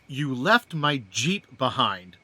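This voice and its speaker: An actor playing a gruff, fatherly old mentor in an audio drama, with a dry, sardonic manner. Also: mid-range voice, big pitch swings, slow pace, background noise. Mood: dry, wary.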